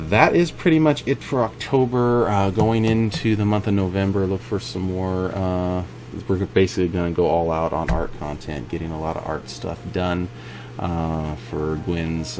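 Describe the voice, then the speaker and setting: A man's voice: low voice, noisy background. A man giving an informal update to viewers as an indie game developer. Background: music behind the voice.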